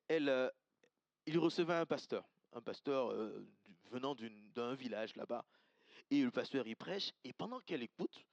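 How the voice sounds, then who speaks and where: A man's voice: mid-range voice, dry recording, lo-fi sound. A man from Côte d'Ivoire, preaching an earnest, emphatic sermon to his congregation and repeating key lines. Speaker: pastor. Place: church service.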